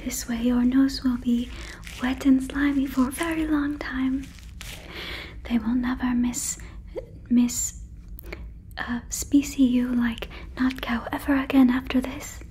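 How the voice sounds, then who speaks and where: A woman whispering close to a fluffy microphone in a breathy ASMR roleplay as a gentle, earnest alien, repeating words softly and deliberately. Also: high-pitched, little pitch movement, slight background noise, poor audio. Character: gentle, earnest female alien fussing over a visitor. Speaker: ASMR performer.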